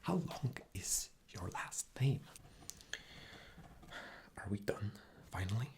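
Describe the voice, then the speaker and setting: A man playing an arrogant, rude boss in a whispered ASMR interview roleplay, breathy, condescending and dismissive. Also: on the low side, expressive, slow. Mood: impatient, condescending.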